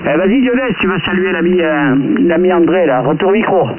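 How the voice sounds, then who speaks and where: A man's voice: sits high, animated, brisk. Over a radio link, a man leads a group chat in a rambling, colloquial, repetitive way. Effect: radio transmission. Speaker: amateur radio operator leading the group. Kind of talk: casual radio contact chat. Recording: tinny narrowband radio, faint noise.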